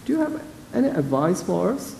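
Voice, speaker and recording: Chatty male moderator, slightly high voice. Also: big pitch swings, fairly quick, gravelly, warm tone, big-room sound, slight background noise. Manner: conversational, chuckles while telling anecdotes. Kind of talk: panel discussion. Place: event hall.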